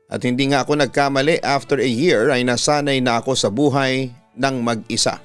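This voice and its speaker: A Filipino man, a radio announcer, narrating a listener's letter as a scripted story in a smooth, clear voice. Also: medium pitch. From Philippines. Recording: music behind the voice. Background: background music.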